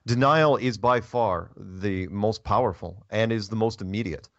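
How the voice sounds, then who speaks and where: A man with a low voice hosts a casual talk show. He explains emphatically and conversationally. The sound is dry, with a slightly muffled top end. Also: measured pace, a bit bright, no room sound. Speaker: radio show host. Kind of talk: casual talk radio discussion.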